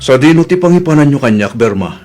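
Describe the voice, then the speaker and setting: A man's voice: mid-range voice, animated, fast, darkish tone, soft background music, natural voice. A man playing a frail, weary old man. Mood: plaintive. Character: frail older man, weak and worried. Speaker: radio drama actor. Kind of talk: radio drama acting.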